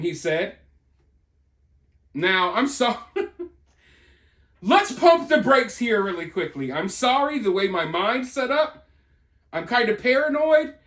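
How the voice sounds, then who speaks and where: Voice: medium pitch, fairly bright tone. A host presenting news and commentary in an informal, conversational and emphatic way. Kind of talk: reading a news report with off-the-cuff opinion commentary. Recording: roomy, echoey sound.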